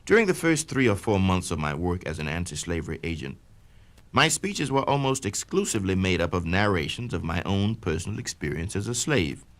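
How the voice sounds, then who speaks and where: Expressive low-voiced man reading a memoir. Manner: measured, declamatory and expressive. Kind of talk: dramatic first-person reading of an autobiography. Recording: vintage spoken-word record.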